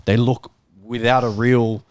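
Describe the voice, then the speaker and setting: A man's voice: medium pitch, level tone, measured pace, darkish tone, clear, resonant tone, no room sound, clean studio sound. A man hosting a casual football panel and giving his own views in the debate.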